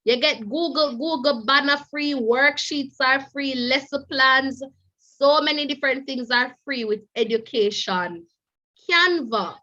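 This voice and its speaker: A woman's voice: high-pitched, pushed and bright, highs cut off. A woman leading an online training session for teachers. She sounds stuffy and nasal from the flu, repeats herself and keeps calling her listeners "teachers" as she coaxes them along. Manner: repetitive, coaxing, keeps addressing "teachers".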